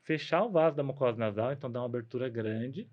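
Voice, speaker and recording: A man's voice: mid-range voice, fast, highs cut off. A man with a Brazilian Portuguese accent, explaining health advice conversationally as a guest doctor, often adding little tag questions. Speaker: guest doctor, ear, nose and throat specialist.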